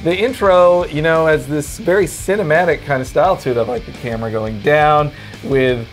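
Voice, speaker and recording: Higher-voiced man, casual commentary over music. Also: moderate pace. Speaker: video game commentator.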